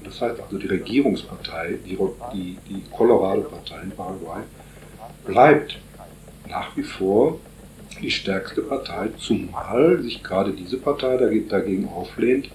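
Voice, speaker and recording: A man answering interview questions at length, pausing often on fillers as he builds long explanatory sentences. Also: deep voice, darkish tone, reverberant, poor audio. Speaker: interviewee on Paraguay politics.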